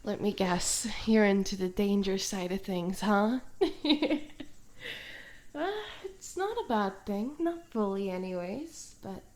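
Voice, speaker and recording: A woman playing a smitten, awkward tomboy stalker in an intimate one-sided ASMR roleplay, hesitant and soft-spoken, trailing off with small hums. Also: mid-range voice, expressive, takes it slowly. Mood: flirty, eager.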